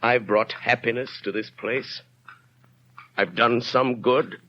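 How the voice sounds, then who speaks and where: An actor playing a tormented middle-aged former convict turned mayor, talking through a brooding inner monologue in a 1930s radio drama. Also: male voice, medium pitch, steady tone, slow pace, close to an everyday voice. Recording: dull lo-fi 1930s radio broadcast, faint hiss.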